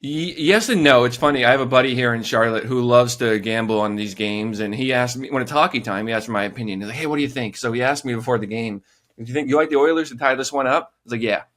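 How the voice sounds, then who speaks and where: A man co-hosting a hockey podcast, easygoing and relaxed, chatting casually and laughing now and then. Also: mid-range voice, fast talker. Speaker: podcast co-host.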